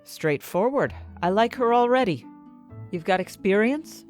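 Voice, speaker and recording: A woman's voice: medium pitch, lively intonation, measured pace, a little mellow, lightly acted. A woman playing an ambitious, indignant fantasy inventor, narrating her own story expressively and articulately in an audio drama. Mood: probing. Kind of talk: first-person dramatic audio fiction narration. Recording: music bed behind the voice.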